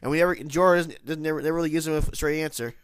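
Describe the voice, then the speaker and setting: A man's voice: on the high side, racing, clear, resonant tone, no echo. A man with a nasal voice, congested from hay fever, giving a casual, rambling spoken review of a TV episode. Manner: rambling, many restarts and self-corrections.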